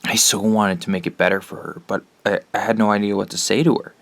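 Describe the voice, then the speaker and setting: An actor playing a troubled, earnest teenage boy in an audio drama, speaking haltingly. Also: fairly low pitch, steady tone, creaky, fairly bright tone, no room sound. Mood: somber, regretful.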